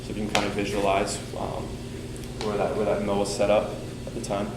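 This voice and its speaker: Young male student presenter, low monotone, loose filler-heavy delivery, echoey lecture room with air-conditioning hum. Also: a little fast. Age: young adult. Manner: loose, filler-heavy talk with many ums and likes. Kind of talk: casual class presentation.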